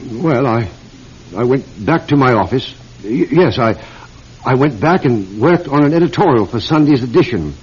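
An actor playing a bewildered, shaken aristocratic British publisher in an old radio drama, hesitant and refined. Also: male voice, mid-range voice, animated, moderate pace, breathy edge. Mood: faltering recollection. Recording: muffled old radio recording.

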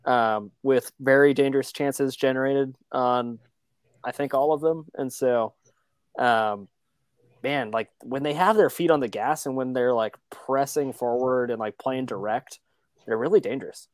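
A man with a medium-pitched voice chats casually as a sports podcast panelist. The sound is dry, with no room echo. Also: unhurried.

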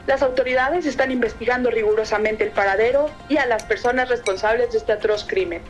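A woman's voice: a little high, brisk, dull audio, faint music behind, natural voice. A woman playing a grave, formal television news reporter in an audio drama. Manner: formal, earnest. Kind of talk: newsreader delivery in audio drama.

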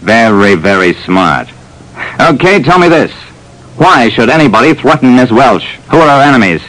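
A man playing a brisk, self-assured private detective who narrates an old radio drama. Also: medium pitch, very animated, fairly bright tone, rough recording. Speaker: narrator and lead. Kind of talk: 1940s radio acting.

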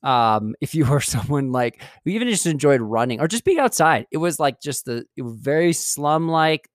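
Smooth-voiced chatty man, dry close mic. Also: on the high side, animated, moderate pace, dry recording. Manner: chatty, digressive, self-correcting. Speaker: podcast host. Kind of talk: rambling solo podcast talk. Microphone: studio mic.

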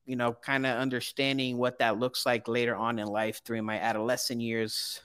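A man's voice: medium pitch, moderate pace, smooth. Laughing man from Brazil. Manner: animated, laughs often, fillers. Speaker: guest, counseling student. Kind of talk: casual interview.

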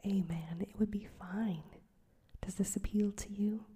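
A woman voicing a crabbed old male newspaper editor, gruff but kindly, in a breathy whisper. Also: mid-range voice, steady tone, measured pace, dark tone.

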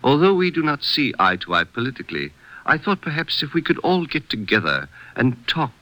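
A man's voice: medium pitch, big pitch swings, a little fast, bright, forceful tone, poor audio. A man playing a courteous retired Egyptian statesman in an old-time radio drama, with formal, gracious phrasing. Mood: courteous, grave.

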